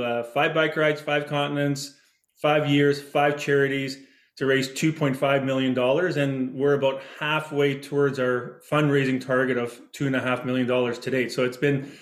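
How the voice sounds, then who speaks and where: A man's voice: mid-range voice, fairly even intonation, normal speed, echoey room. A man from the Netherlands, a guest in an interview, talking casually with frequent restarts. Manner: rambling, restarts often.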